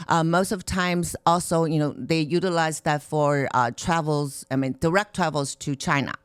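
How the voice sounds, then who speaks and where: A woman's voice: sits low, steady tone, normal speed, smooth, no room sound, clean studio sound. A woman giving a conference presentation as a marketing director, chatty with many fillers and restarts. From Taiwan. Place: conference room.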